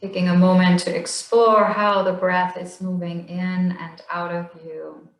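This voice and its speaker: A woman slowly leading a guided body scan meditation in a gentle, soothing voice with long pauses. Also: on the low side, flat delivery, reverberant, poor audio. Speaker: meditation instructor.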